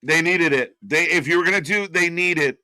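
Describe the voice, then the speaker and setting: A man's voice: fairly high pitch, fairly quick, bright, forceful tone, dry recording. A man co-hosting a live wrestling watch-along stream, wisecracking, laughing often and swearing. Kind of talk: casual live-stream commentary.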